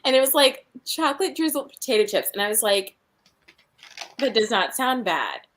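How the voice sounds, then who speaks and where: A woman with a fairly high voice chats casually on a livestream. Her intonation moves around a great deal as she banters informally. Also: big pitch swings, moderate pace, a bit bright, a little hiss or hum. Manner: chatty, informal. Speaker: reading livestream co-host.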